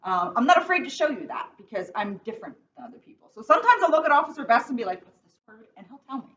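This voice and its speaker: A woman who works as a school police officer speaks to children in a plain, encouraging and slightly halting way. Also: on the high side, big pitch swings, a bit bright. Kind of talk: storybook read-aloud and casual talk to children. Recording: muffled, faintly noisy, distant microphone.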